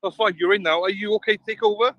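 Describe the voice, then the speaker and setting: A man hosts a casual livestream, cheerfully greeting viewers by name. His voice sits a little high. The audio is dull and lo-fi, with a dry, close sound. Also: fairly even intonation, fast, no room sound. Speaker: stand-in livestream co-host.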